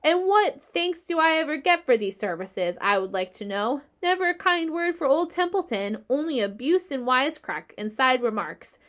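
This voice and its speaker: A woman's voice: high voice, sing-song, normal speed, hardly any pauses, sharp tone, pitched above the performer's own voice, full character voice. A woman voicing a lazy, self-pitying male rat who grumbles and mocks. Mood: sarcastic. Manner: sarcastic, mocking, put-upon.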